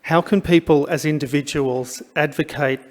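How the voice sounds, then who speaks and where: A man hosting a conference session, relaxed and with many fillers. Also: fairly high pitch, moderate pace, big-room sound. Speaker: event host.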